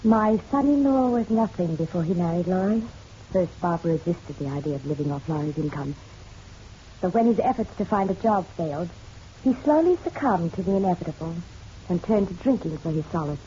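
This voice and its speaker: A woman's voice: fairly low pitch, lively intonation, fairly quick, dark tone, natural voice. An actress playing a genteel, proud middle-aged mother in a radio drama, poised and arch with a bitter edge. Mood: bitter, firm. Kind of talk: noir radio drama acting. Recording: dull, slightly noisy radio-drama recording.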